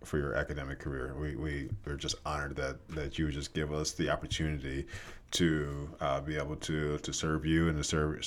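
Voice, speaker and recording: Male host, middle-aged, very low bass voice, upbeat, praises guests and repeats himself. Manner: warm, encouraging, repeats words. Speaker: school district superintendent, host. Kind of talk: conversational interview.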